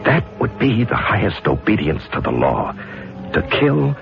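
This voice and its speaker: Deep-voiced, brooding, murderous husband. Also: male voice, sing-song, creaky, full character voice. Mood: brooding, obsessive. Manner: dramatic, deliberate, self-questioning, swinging in intensity. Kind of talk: 1970s radio drama acting. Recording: dull 1970s radio recording with faint music.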